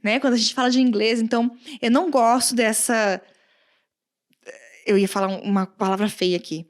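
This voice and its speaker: A woman from Brazil with a countryside accent, a guest English teacher chatting casually on a podcast, animated, often laughing and acting out quoted comments. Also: on the high side, normal speed. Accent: Brazilian Portuguese, countryside.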